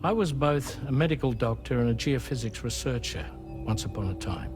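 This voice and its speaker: A man's voice: medium pitch, animated, normal speed, music in the background, natural voice. An actor playing a wistful father, a seafaring former doctor and researcher, telling his story reflectively in a video game cutscene. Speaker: ship captain and former scientist.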